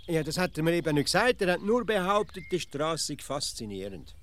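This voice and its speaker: An actor with a Swiss accent playing a stubborn, easily irritated provincial simpleton philosopher in a deadpan radio comedy, pedantic and repetitive. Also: male voice, a little high. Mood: defensive. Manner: pedantic, deliberate, repeats himself.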